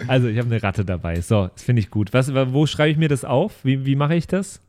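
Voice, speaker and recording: A man's voice: mid-range voice, brisk, a little mellow. A man in casual chat as a tabletop role-player, thinking aloud a little hesitantly.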